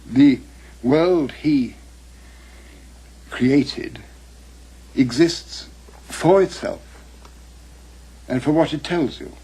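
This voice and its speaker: A man with a very breathy, husky voice and a dark, mellow tone comments in a documentary interview. He phrases things formally and deliberately. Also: fairly high pitch, poor audio.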